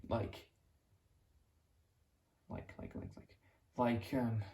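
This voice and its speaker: A man's voice: mid-range voice, little pitch movement, drawn-out words, dark tone. A young man with a Mexican accent talking to camera in an unscripted, reflective ramble, often restarting and hunting for words. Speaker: YouTuber.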